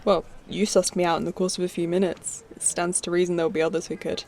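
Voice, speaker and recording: A woman's voice: on the low side, flat delivery, quick pace, a little mellow, slight background noise, close to an everyday voice. A woman playing an earnest, displaced human space traveller in a science-fiction audio drama. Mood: friendly, relaxed. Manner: earnest, confiding.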